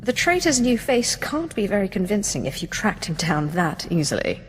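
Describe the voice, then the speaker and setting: A woman's voice: mid-range voice, sing-song, normal speed, slight background noise, close to an everyday voice. A woman playing a cool, commanding master in a video game, dryly sardonic and coldly amused by cruelty. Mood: skeptical. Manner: dry, imperious, sardonic. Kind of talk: video game character dialogue.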